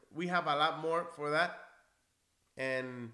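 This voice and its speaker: Man, 40s, from Cuba, encouraging instructor on a live stream, bright upper-middle voice, echoey small office. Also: a little high, takes it slowly. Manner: friendly, encouraging, conversational with restarts. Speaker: NCLEX prep instructor. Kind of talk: live-stream Q&A, reading viewer comments. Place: small indoor office, seated in leather chair.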